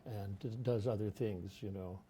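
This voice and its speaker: An older man hosting a casual talk show, speaking in a halting way with many fillers and restarts. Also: fairly low pitch, steady tone, dark tone, husky. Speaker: host. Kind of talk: casual chat. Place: studio.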